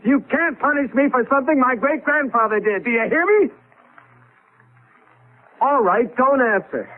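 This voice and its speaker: An actor playing a desperate, insistent husband trapped in the past, in 1970s radio drama style on a thin old broadcast recording. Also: male voice, very high-pitched, animated, normal speed, phone-quality sound. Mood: angry, frantic. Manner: urgent, insistent, emphatic.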